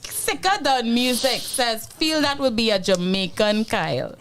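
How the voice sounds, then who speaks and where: A playful Trinidadian woman co-hosts a casual chat show and reads out comments from the chat. Her voice rides a little high and swoops up and down as she giggles between lines. A clear layer of noise and clicks sits under the recording. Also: lively intonation, a little slow, fairly bright tone. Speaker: talk show co-host reading viewer chat. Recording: noisy with clicks.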